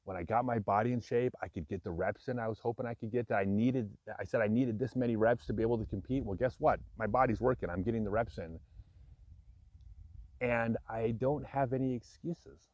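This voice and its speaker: A man's voice: medium pitch, fairly quick, a little mellow, no room sound, lo-fi sound. A man in his fifties talking candidly and reflectively to camera, frank and self-deprecating with occasional chuckles. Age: 50s. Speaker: vlogger. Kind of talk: candid personal talk to camera.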